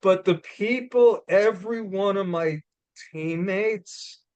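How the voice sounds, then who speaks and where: A man with a New York accent giving a loud, blunt, emphatic motivational talk as a guest speaker. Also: high-pitched, slow, smooth, dry recording, lo-fi sound.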